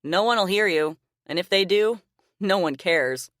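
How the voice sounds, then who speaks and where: A woman's voice: on the low side, lively intonation, measured pace, a bit bright, dry recording, clean studio sound. A woman voicing a smug, cruel captor. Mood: mocking. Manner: smug, taunting. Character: cruel man, mocking, menacing captor.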